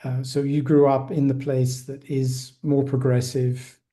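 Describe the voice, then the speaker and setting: A man's voice: mid-range voice, little pitch movement, measured pace, warm tone. A man hosting a podcast interview, asking probing questions and giving brief backchannels. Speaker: podcast host.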